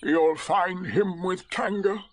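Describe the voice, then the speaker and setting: Gloomy, drawling, high-pitched donkey. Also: male voice, fairly even intonation. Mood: dry, fussy. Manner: slow drawl, mournful, sardonic. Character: Eeyore, gloomy sarcastic male donkey. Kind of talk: storybook character voice.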